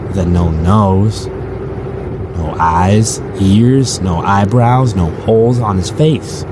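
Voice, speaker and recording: A man's voice: fairly low pitch, background noise. A man narrating a first-person audio drama from a script.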